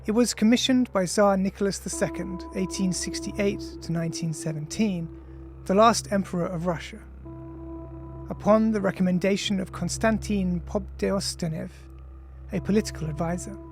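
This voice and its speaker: An author reading their own nonfiction audiobook in a measured, formal, deliberate way. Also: mid-range voice, soft background music. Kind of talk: scripted audiobook narration of an essay.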